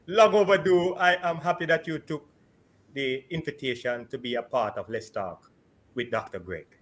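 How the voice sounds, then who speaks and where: A man's voice: fairly high pitch, fairly bright tone, a little hiss or hum. A middle-aged man hosting a live interview over a video call from his home, laughing often between questions. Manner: cheerful, laughs often, quick bursts. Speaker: host. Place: home room with shelves. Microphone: video call webcam.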